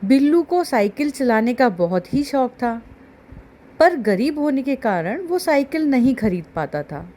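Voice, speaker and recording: A woman's voice: sits high, big pitch swings, measured pace, mellow. A woman narrating a story for children as a storyteller.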